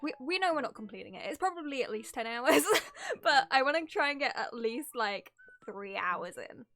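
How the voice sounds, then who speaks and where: Casting: female game streamer, fairly high voice, giggly casual chatter over background music. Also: a bit bright. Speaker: livestreamer. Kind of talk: casual livestream chat while starting a game.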